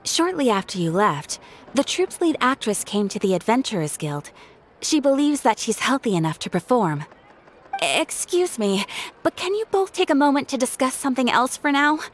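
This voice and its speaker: Formal guild desk lady, politely interrupting, lively pitch. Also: moderate pace, a little hiss or hum. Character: polite guild receptionist, female.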